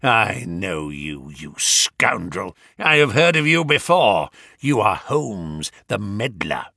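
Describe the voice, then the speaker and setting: A man voicing a furious, menacing old doctor villain who snarls and shouts threats in an audiobook story. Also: lowish voice, sing-song, slow, gravelly, no room sound, studio quality, voice pushed down, full character voice. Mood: scornful, furious.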